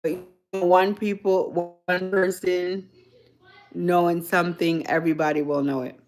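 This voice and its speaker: Slow, chatty Jamaican woman. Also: lowish voice, flat delivery, a little mellow, faint music behind. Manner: rambling, chatty, laughs often. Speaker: livestream host. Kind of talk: casual live chat with viewers.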